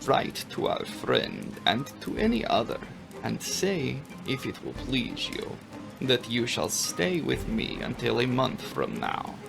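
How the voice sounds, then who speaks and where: A man voicing an old vampire count in an audiobook, speaking slowly and formally with courteous menace and dramatic pauses. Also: mid-range voice, huge pitch swings, creaky, music in the background, heavily acted, low-quality recording. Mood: commanding, smoothly insistent. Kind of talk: dramatic audiobook character reading.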